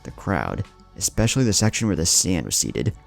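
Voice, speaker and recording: Deep, gravelly man narrating dramatically. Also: very animated, normal speed, soft background music. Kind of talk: dramatic scripted narration of fan fiction.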